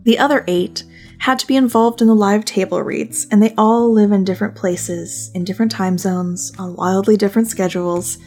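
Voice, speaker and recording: A woman's voice: mid-range voice, steady tone, normal speed, a little mellow. Female podcast narrator, mid-range voice, wry and playful, conversational read over faint plucked guitar. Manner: wry, playful, dry humor. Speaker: podcast host.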